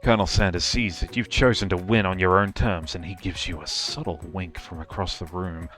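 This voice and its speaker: A man with a lowish voice reads a video game script aloud on a live stream. He slips between narration and casual, swearing commentary, with faint music playing behind him. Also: fairly even intonation, brisk, fairly bright tone.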